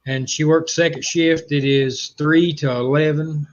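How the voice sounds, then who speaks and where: A man with a rural Southern accent hosting a casual livestream chat, speaking hesitantly with frequent pauses. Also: fairly high pitch, steady tone, muffled recording, slight background noise. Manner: hesitant, lots of uh fillers, reads comments aloud.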